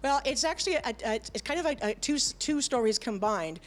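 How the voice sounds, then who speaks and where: A middle-aged woman, a band's singer, chatting as a guest in a TV studio, talking in a lively way with many fillers and restarts. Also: sits high, fairly quick. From Canada. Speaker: band vocalist, guest. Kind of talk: casual chat. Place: TV studio with audience.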